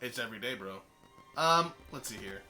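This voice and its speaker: A man hosts a casual group voice call, rambling along and correcting himself mid-sentence. His voice rises and falls in a lively way over a voice chat mic, with music clearly heard behind him. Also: medium pitch, moderate pace, low-quality recording. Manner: rambling, with fillers and self-corrections. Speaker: stream host. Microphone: voice chat microphone.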